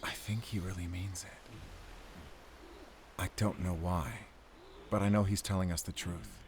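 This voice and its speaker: Man playing a low-voiced, wary werewolf hunter. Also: steady tone, unhurried, noisy background. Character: male werewolf hunter, wary, questioning, resolute. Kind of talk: audio roleplay acting.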